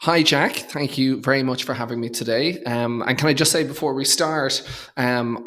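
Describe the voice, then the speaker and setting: Halting, conversational Irish man. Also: medium pitch, fairly bright tone. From Dublin, Ireland. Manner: hesitant, halting, with repeated words. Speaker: podcast guest, accountant and entrepreneur. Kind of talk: conversational interview.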